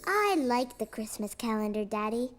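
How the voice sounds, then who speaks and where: A woman's voice: fairly high pitch, very animated, moderate pace. A woman voicing an eager, curious preschool boy in a children's cartoon, with a childlike questioning manner. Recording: music behind the voice.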